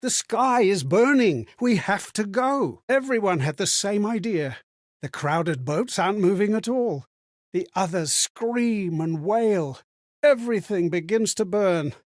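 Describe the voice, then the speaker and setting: A man's voice: high voice, expressive, unhurried, dry recording, studio quality. A man playing an earnest, sorrowful male companion in a game, who relives other people's memories in reflective, theatrical monologues. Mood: desperate, grim. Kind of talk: dramatic game voice-over monologue.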